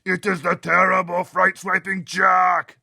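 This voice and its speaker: Storybook giant: massive brute in terror, high strained bellow, rough and drawn-out. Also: male voice, little pitch movement, sharp tone, no room sound, shouting, clean studio sound, exaggerated voice. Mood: panicked screaming. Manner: bellowing, screaming, drawn-out words. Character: terrified gigantic brutish man-eating giant, bellowing for mercy. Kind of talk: dramatic children's storybook read-aloud.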